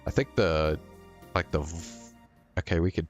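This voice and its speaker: A man's voice: deep voice, a little slow. A man hosting a game stream, chatting casually and reading visual novel narration aloud. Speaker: streamer, host. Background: faint game music.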